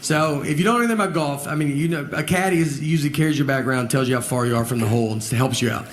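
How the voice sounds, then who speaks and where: Deadpan man in his forties, stand-up. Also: a little high, a little hiss or hum. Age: 40s. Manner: deadpan, slow storytelling with acted-out dialogue. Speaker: stand-up comedian. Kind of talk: stand-up comedy. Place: stage before a live audience. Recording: loud audience laughter behind.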